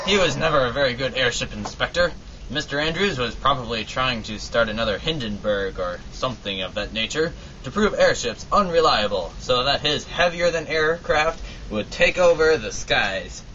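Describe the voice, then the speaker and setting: A man's voice: mid-range voice, lively intonation, normal speed, pushed and bright. Casting: man acting boastful, earnest radio-play superhero, swooping delivery, muffled noisy recording. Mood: triumphant. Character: bombastic toothbrush-wielding male superhero, earnest and boastful. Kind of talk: old-time radio drama parody acting. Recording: distant, muffled, noisy live amateur recording.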